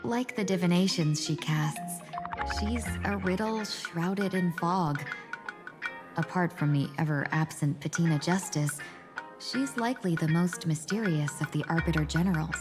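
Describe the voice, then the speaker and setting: A woman voicing a composed, courteous game character with a measured, formal, gently teasing manner. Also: fairly low pitch, music in the background, natural voice. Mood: wry. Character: composed, courteous woman, subordinate of a general, dryly playful. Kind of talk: video game voice acting.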